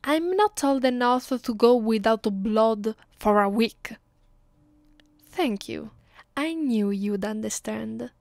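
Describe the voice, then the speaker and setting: A woman with an Italian accent playing an affectionate, clingy vampire girlfriend in an ASMR roleplay, speaking softly, intimately and a little hesitantly. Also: on the high side, slow, creaky, dry recording. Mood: pleading, playful, then loving, content. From Italy. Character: affectionate, clingy, playfully pleading young female vampire girlfriend. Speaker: voice actress.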